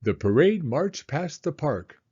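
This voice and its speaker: Male storybook reader for children: expressive, animated delivery, soft mellow tone. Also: a little high, unhurried, slightly rough, highs cut off. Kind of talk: children's read-aloud narration.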